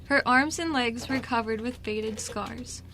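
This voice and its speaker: A woman's voice: on the high side, a little slow, a bit bright. A young woman reading scripted narration for an amateur radio play. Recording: faint background noise.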